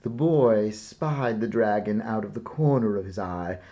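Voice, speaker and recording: A man reading a children's picture book aloud in a theatrical, expressive way with dramatic pauses. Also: on the low side, lo-fi sound. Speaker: storybook reader.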